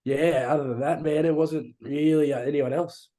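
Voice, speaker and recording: Young Australian male co-host, warm dark tone, blunt and casual. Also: a little high, steady tone, normal speed. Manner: frustrated, blunt, swears, uses "mate" and "reckon". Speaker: podcast co-host. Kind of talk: casual sports chat.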